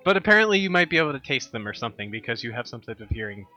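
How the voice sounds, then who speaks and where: A man's voice: medium pitch, big pitch swings, rapid-fire, pushed and bright. Dry, sarcastic comedy podcast man. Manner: dry, mock-serious, sarcastic. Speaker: podcast co-host. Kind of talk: comedic improvised banter. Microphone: studio microphone. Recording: slightly dull sound with faint music.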